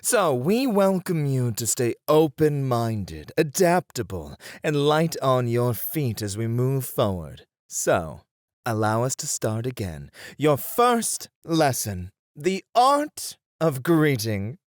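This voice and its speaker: Man acting as gentleman etiquette tutor, formal, playful, encouraging, slow measured pace, very animated intonation, ASMR roleplay. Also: mid-range voice, no echo, studio quality. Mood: encouraging, instructive. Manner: formal, theatrical, encouraging, elaborately polite. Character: courtly, playful male etiquette tutor at a ballroom.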